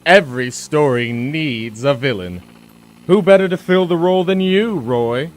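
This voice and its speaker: Man playing a slow, theatrical gloating villain. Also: fairly high pitch, close to an everyday voice. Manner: theatrical, taunting, drawn-out delivery. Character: grandiose gloating male villain, menacing would-be tyrant. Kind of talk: audio drama acting.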